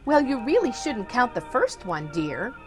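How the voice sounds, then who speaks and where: Actress as kindly, scatty old lady for radio farce; slightly high, animated voice, genteel and matter-of-fact. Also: a little slow, loud music behind the voice. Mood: mildly puzzled. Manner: genteel, doting. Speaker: actor. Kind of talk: theatrical radio comedy acting.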